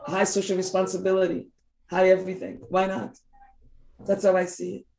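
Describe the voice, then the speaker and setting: A woman's voice: mid-range voice, completely flat delivery, brisk, vocal fry, darkish tone. A woman who speaks English as a second language, talking earnestly and emphatically as a coach in a casual conversational interview, repeating her key phrases. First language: not English. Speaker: team coach. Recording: roomy echo.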